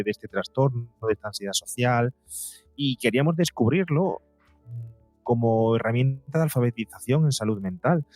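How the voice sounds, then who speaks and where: Man, mid-pitched voice, podcast guest, long reflective explanations, very dry recording, faint music behind. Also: no echo. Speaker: psychologist and podcaster, interview guest. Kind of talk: explanatory interview talk. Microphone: close podcast mic, seated at a table.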